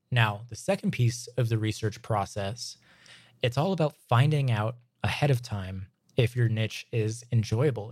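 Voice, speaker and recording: A man presenting a scripted lesson in an informal, emphatic way, his voice slightly hoarse from long reading. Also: mid-range voice, darkish tone, no echo, clean studio sound. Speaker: podcast host and filmmaking instructor. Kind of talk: scripted lesson read aloud, conversational.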